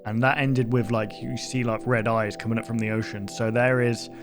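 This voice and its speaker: A man's voice: medium pitch, little pitch movement, normal speed, soft background music. A man hosting a reaction video in a casual, rambling, speculative way.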